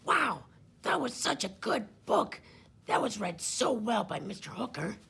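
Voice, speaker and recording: A woman's voice: fairly high pitch, big pitch swings, a little slow, gravelly, fairly bright tone, slightly rough. A woman chatting simply about a book in a casual discussion for children. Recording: noticeable background noise and ambience.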